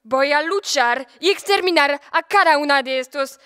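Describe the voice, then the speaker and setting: A woman's voice: high voice, normal speed, bright, forceful tone, long reverb tail. A young woman playing a proud, deluded old knight in a student audio drama, with a grandiose, declamatory manner. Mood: defiant. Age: teenager playing the part.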